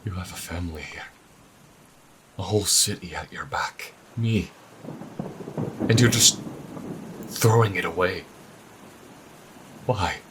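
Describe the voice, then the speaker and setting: A man's voice: low-pitched, slow pace, long pauses. A man playing a stern, devout church keeper, anguished and reproachful toward his lover in a fantasy audio drama. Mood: hurt. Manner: grave, reproachful, emotional. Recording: rain and thunder noise behind.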